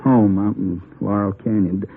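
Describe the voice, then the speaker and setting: An American actor playing a grudging, anxious arrested gangster turned informer in a 1950s radio drama. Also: male voice, lowish voice, steady tone, normal speed, vocal fry, breathy edge. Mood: nervous, grudging. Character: sullen, self-serving arrested narcotics gangster turning informer. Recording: dull, faintly noisy old radio recording.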